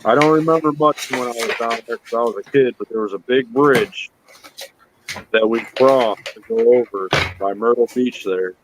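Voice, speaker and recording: A man in his thirties reminiscing in a relaxed casual chat. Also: mid-range voice, normal speed, noisy background. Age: 30s.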